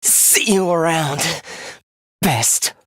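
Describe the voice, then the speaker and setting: A man's voice: expressive, extremely slow, fairly bright tone, no echo, full character voice. A man voicing a sadistic young male video game villain, mock-polite and theatrical in his contempt. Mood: mock sweet. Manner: mock-polite, theatrical, swinging into shrill ranting. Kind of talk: anime-style video game voice acting.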